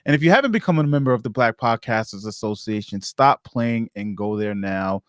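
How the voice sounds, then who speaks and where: A man with a fairly low voice hosts a casual live podcast. He speaks conversationally and appreciatively. The sound is close and dry, free of echo. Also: fairly quick. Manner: conversational, appreciative, repeats his thanks. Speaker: podcast host. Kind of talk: casual live-stream hosting.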